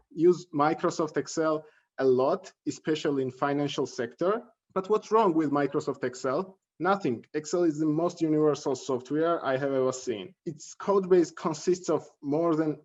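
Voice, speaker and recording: A slightly muffled, low-fidelity recording of a male tutor presenting a lesson. His voice sits a little high for a man. He speaks with a halting delivery, often repeating and correcting words. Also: a little mellow. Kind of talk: instructional presentation. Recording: dull, lo-fi audio.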